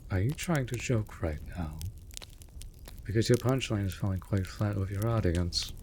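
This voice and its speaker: A low-pitched male raven familiar acted by a man speaks over faint background noise. He is formal and theatrical, an exasperated but devoted servant in a fantasy audio drama who chides because he cares. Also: moderate pace, darkish tone, close to an everyday voice. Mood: chiding, caring. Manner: theatrical, formal, scolding yet caring. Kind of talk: scripted fantasy audio roleplay.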